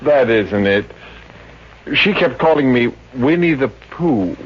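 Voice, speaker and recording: An actor playing a sensitive, self-pitying young psychiatrist in a 1950s radio comedy, hesitant and earnest. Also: male voice, medium pitch, animated, slow, breathy edge. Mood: plaintive, self-pitying. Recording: muffled, hissy 1950s radio recording.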